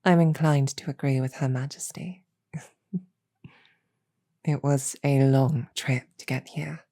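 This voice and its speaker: Woman voicing a hushed, low, slow demon archer. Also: fairly even intonation, full character voice. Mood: gentle, tired. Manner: hushed, measured, gentle. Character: soft-spoken female demon archer, deferential, quietly affectionate. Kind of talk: roleplay audio drama.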